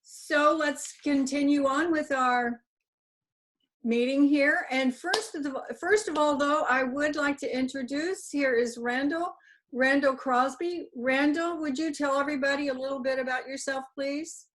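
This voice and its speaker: A woman with a high, bright-sounding pitch leads a club meeting over a video call. She keeps things moving in an upbeat, courteous way. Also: a little slow. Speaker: meeting chair.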